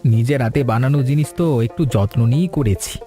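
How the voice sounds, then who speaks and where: A kind, steady cartoon husband and father, voiced by a man, calm and reassuring toward his family. His voice is mellow and warm at a middle pitch. Soft music plays behind him. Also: fairly quick, close to an everyday voice. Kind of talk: cartoon voice acting.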